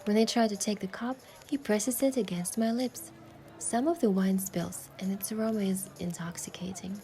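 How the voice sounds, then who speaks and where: A woman voicing a playful, tender young heroine who narrates her own romance in a game with soft, lyrical storytelling. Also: medium pitch, fairly even intonation, moderate pace, lightly acted. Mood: dreamy. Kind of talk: scripted first-person narration and dialogue in romance game. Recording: music behind the voice.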